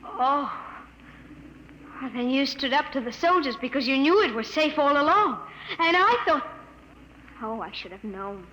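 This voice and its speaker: Proud anxious young woman, high pitch, 1950s radio acting, light hiss. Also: expressive, measured pace, long pauses, sharp tone, exaggerated voice. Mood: hurt, indignant. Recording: faintly noisy old radio broadcast.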